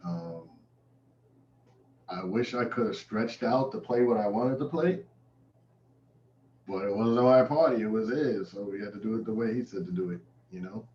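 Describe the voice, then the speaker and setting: A man's voice: on the low side, lively intonation, moderate pace, long pauses, a little mellow, recorded from a distance. A man who is a DJ being interviewed, telling stories in an informal, casual way. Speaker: DJ, interview guest. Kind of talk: casual chat. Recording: roomy, dull, noisy call audio.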